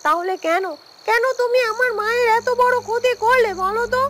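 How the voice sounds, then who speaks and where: A woman's voice: very high voice, a little fast, fairly bright tone, a little hiss or hum. A woman playing a stern, vengeful young woman in a cartoon story, confronting someone with emphatic accusations. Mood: accusing, vengeful. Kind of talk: Bengali cartoon story dialogue.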